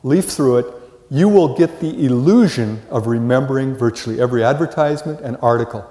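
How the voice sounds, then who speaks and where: Echoey lecture hall, older american guy teaching college class, medium pitch, storytelling style, keeps asking rhetorical questions. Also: a little fast. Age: 60s or older. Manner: animated storytelling, rhetorical questions, acts out brief dialogues. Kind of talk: live lecture to students. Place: large lecture hall with reverb.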